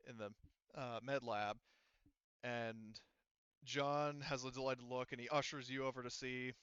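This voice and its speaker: A man acting as game master for a tabletop roleplaying game, narrating casually and haltingly as he thinks aloud. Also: medium pitch, fairly bright tone, clear, resonant tone, no room sound, dull audio.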